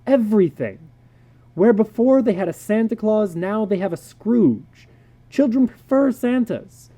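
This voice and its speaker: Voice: mid-range voice, animated, unhurried, lo-fi sound, pitched above the performer's own voice. A reader voicing a blunt, worldly male psychologist in a dramatic story reading, speaking emphatically and with dry amusement. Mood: emphatic, admonishing.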